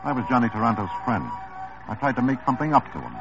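An actor playing a wary, evasive mob lawyer in a 1950s radio drama, speaking in a slow drawl. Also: male voice, medium pitch, big pitch swings, lightly acted. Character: smooth, nervous male mob lawyer. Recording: dull old radio broadcast with music.

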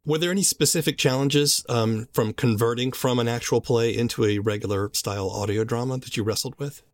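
A man hosting an interview, asking questions conversationally in a measured, thoughtful way. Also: mid-range voice. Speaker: podcast interviewer.

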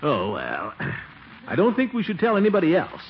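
An actor playing a flustered, scheming middle-aged bridegroom-to-be in 1940s radio comedy, blustery, stammering and chuckling nervously. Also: male voice, fairly high pitch, wildly swooping pitch, moderate pace, faint music behind. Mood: nervous coaxing. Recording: muffled, noisy old 1940s radio recording.

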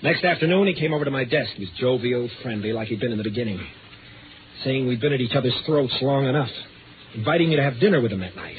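An actor playing an anxious, harried man in a 1950s radio drama, speaking in a halting, strained, pleading way. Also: mid-range voice, animated, slight background noise, lightly acted. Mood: uneasy recounting. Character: anxious, harried man haunted by fear of darkness. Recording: tinny old radio broadcast with music.

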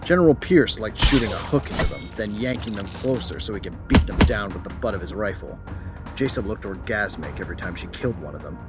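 A man's voice: on the low side, animated, a little fast, natural voice. A man playing a cocky, battle-loving soldier who narrates a space battle in colloquial, irreverent first person. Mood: cocky, excited. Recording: music behind the voice.

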